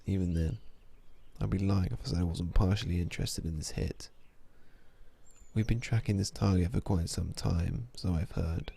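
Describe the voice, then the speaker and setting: A man playing a weary, cynical vampire-hunting sniper in an ASMR audio drama, speaking in a brooding, low-key, deliberate way. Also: fairly even intonation, gravelly, mellow, slightly rough, exaggerated voice. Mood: weary, bitter.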